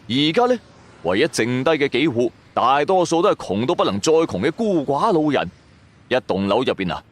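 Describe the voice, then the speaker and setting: A man narrating a scripted first-person audio drama in a colloquial, suspenseful storytelling manner. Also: medium pitch.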